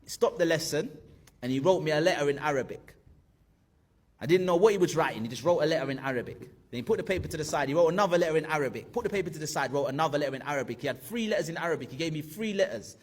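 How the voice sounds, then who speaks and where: A man's voice: on the high side, expressive, rapid-fire. A man in his thirties animatedly telling a personal story, acting out the voices of friends and his teacher.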